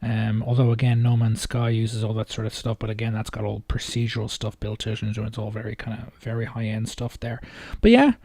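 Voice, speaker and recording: Mellow man, hesitant and rambling. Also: lowish voice, dry recording. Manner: hesitant, rambling, halting phrasing. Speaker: video host reacting to game trailers. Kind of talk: casual off-the-cuff commentary.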